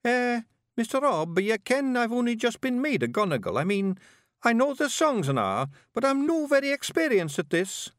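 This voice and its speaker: A man's voice: very high-pitched, very animated, moderate pace, dry recording, studio quality, pitched above the performer's own voice. A man voicing a very young, small fairy-folk bard, earnest, anxious and respectful, with a Scottish accent in a storybook. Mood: apologetic. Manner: earnest, nervous, deferential.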